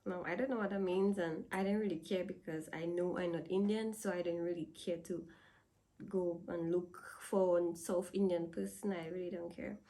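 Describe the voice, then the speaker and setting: A woman's voice: on the low side, fairly even intonation, moderate pace, warm tone. Young Dominican woman, casual vlogger, chatty, repeats herself, laughs often. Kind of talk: casual talk to camera.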